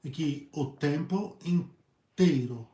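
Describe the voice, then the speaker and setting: A hoarse male priest with a Brazilian Portuguese accent, preaching earnestly in halting phrases and often repeating his words. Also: a little high, dark tone. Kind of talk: preached talk on a video channel. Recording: echoey room.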